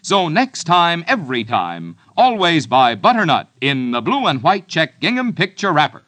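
Man announcer, lively pitch, bright tone, dry close sound, emphatic sales read. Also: moderate pace. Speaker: commercial announcer. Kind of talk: scripted radio ad read.

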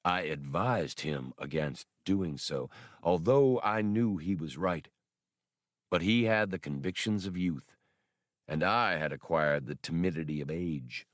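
Man reading as a grave, deep-voiced old scholar. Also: expressive, no echo, studio quality. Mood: grave, regretful. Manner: formal, precise, deliberate wording. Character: older scholarly man, measured, courteous, grave. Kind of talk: audiobook character dialogue.

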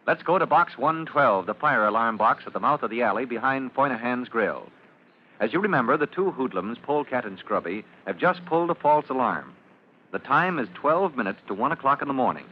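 A man's voice: medium pitch, very animated, brisk. A man narrating an old radio serial in a dramatic, declamatory announcer's style. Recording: muffled, noisy old radio recording.

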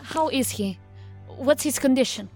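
An actress playing a serious, matter-of-fact federal agent in a science fiction audio drama, speaking in formal, precise phrases. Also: female voice, sits high, animated, measured pace, a little mellow, faint music behind.

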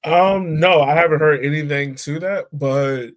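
A man's voice: fairly high pitch, a little slow. Laid-back man chatting on a podcast. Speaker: soccer podcast co-host. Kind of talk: casual podcast chat.

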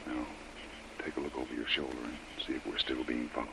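An American actor playing a tough, commanding adventurer in an old-time radio drama, giving brisk, impatient orders. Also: male voice, very deep voice, level tone, gravelly. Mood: hushed, tense. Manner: brisk, authoritative, clipped orders. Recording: old radio broadcast with faint noise.

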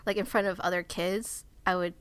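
A woman's voice: fairly low pitch, steady tone, moderate pace, clean tone. A young adult woman whose first language is Cantonese, talking casually in a hesitant way with many fillers and trailing-off phrases. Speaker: participant. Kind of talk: casual conversation.

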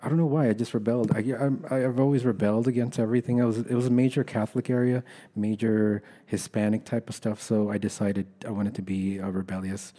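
A middle-aged American man speaks in a fairly low voice with a soft, dark tone. He is an interview guest, recounting personal stories in a candid, conversational style. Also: flat delivery, quick pace. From Los Angeles, United States. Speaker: guest, army veteran.

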